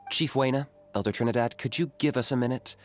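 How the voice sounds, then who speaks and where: A man's voice: mid-range voice, fast, lightly acted. A man plays a young warrior from a fantasy game. His mid-range voice is cool and businesslike. He speaks in a dry, matter-of-fact way. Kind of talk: video game character acting.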